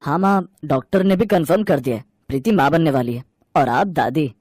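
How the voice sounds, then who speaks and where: A man voicing a mild, reasonable grown son in a story, with a calm, conciliatory manner. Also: a little high, a little fast, no echo. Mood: pleased. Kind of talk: acted story dialogue.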